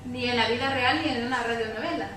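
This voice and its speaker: A Salvadoran woman with a high voice offers halting, spontaneous panel remarks, checking in with tag questions. She sounds far from the microphone in a strongly echoing room. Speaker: panelist from a women's support organization. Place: echoey room at a public debate. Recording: very echoey room, distant mic, faint noise.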